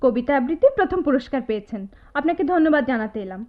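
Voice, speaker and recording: An actress playing a spirited, sharp-tongued young woman student in a Bengali radio drama, taunting and animated. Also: high voice, fast talker. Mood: indignant, taunting. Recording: tinny phone-like radio drama audio, music behind.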